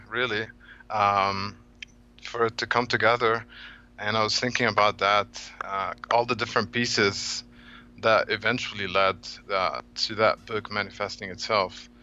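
A man from Egypt in his thirties, a guest author on a podcast, telling a long story with many halting fillers. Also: on the low side, bright, forceful tone, highs cut off. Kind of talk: podcast interview, reflective storytelling.

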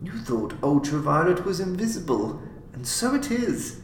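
A man's voice: fairly high pitch, very animated, normal speed, pitched above the performer's own voice. A man voicing an obsessed, gloating mad scientist in an audiobook, lecturing with intense emphasis. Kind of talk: audiobook character acting. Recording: roomy, clearly noisy home recording.

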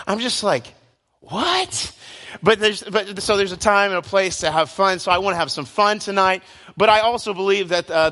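Bright, chatty young man preaching. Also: on the high side. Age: 20s. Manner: chatty, self-deprecating, jokes with the crowd. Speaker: guest preacher. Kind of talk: casual joking sermon to a youth service. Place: church hall before a live crowd.

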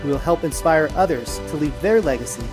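A man's voice: on the high side, animated, fairly quick. A man hosting a podcast, reading its opening in a polished announcer manner. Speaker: podcast host. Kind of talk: scripted show intro. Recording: read over music.

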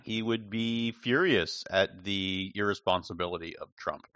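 A man co-hosting a podcast over a remote call, dry and quick with a pun. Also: deep voice, a bit bright, clear, resonant tone, no room sound, dull audio. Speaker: podcast co-host. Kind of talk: casual discussion.

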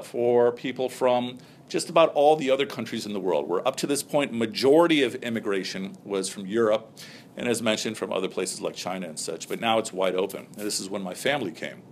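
Lecturing man in his forties, low voice. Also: slight background noise. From Pakistan, raised near Chicago. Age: 40s. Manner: explanatory, checks in with "okay?" and "right?". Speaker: speaker on Islam. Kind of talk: lecture to undergraduates.

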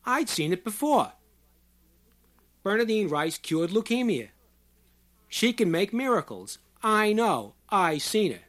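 Man acting a loud, insistent squat working-class man. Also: on the high side, big pitch swings. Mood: fervent, insistent. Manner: shouting, slow emphatic declarations in folksy ungrammatical speech. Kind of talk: audiobook character voice.

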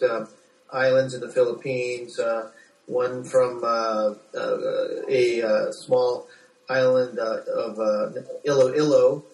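A middle-aged American man answering interview questions as a doctor, speaking haltingly with repeated words. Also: medium pitch, darkish tone. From United States. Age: 40s. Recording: echoey room, distant from microphone.

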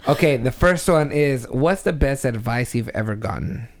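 A man with a medium-pitched voice co-hosts a comedic podcast. He talks casually and bluntly, swearing freely as he goes. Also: animated, moderate pace. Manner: blunt, profane. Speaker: podcast co-host.

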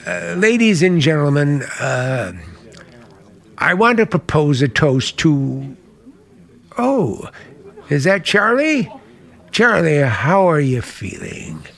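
An actor playing a charming but menacing uncle in old-time radio drama, with smooth, hesitant, genial talk that masks a threat. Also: male voice, fairly high pitch, big pitch swings, slow, pauses often, a bit bright, exaggerated voice. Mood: genial, uneasy. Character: charming, menacing uncle hiding a dark secret.

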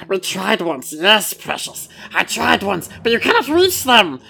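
A gravelly, hissing creature, put on by a woman, crawling through his lines at a dragging pace. His whisper swoops widely up and down, wheedling and furtive, with a bright, sharp edge to the rasp. The voice sounds set back from the mic. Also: medium pitch, very animated, heavily acted. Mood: brooding, creepy. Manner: slow, drawn-out, sing-song repetitions.

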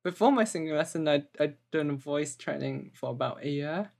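Female interview guest, casual and talkative, quick bursts of speech. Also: low-pitched, vocal fry, darkish tone. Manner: chatty, quick, light laughs, repeated words.

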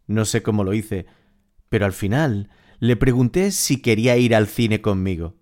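A man's voice: fairly low pitch, expressive, normal speed, darkish tone, natural voice. A man playing a smitten, wistful narrator reading scripted literary audio fiction. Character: smitten, wistful male narrator, devoted to his beloved.

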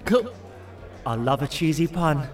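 A man's voice: on the high side, big pitch swings, measured pace, far from the mic. An actor playing the mouthy, berating, panicky inner voice of an awkward British man in an audio drama. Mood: smitten. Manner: sweary, berating.

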